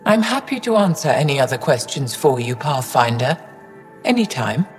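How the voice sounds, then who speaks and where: A woman's voice: a bass voice, very animated, normal speed, big-room sound, soft background music. An actress playing a proud, stern older female alien scientist in a video game, speaking formally and pointedly. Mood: cool, resolved. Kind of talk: dramatic video game acting.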